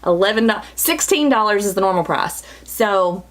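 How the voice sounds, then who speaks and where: A woman's voice: medium pitch, expressive, normal speed. A woman, chatting bubbly through a makeup tutorial while her voice is scratchy from a cold. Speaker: beauty vlogger. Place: small home studio, indoors.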